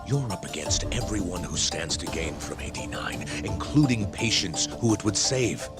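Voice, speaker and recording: A low-voiced, stern man who will not budge for a game cutscene. He sounds resigned and formal, with music clearly underneath. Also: animated, moderate pace. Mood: cold refusal. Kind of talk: English video game cutscene dub.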